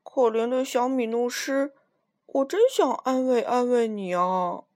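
A woman voicing a sly old male circus lion, slow and booming in a children's storybook reading. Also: fairly even intonation, darkish tone, natural voice. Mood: mournful.

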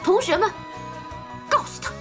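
A woman's voice: moderate pace, natural voice. A woman voicing a pompous, sarcastic schoolteacher who scolds pupils in an audio drama. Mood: indignant. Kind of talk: Mandarin audio drama acting. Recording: music behind the voice.

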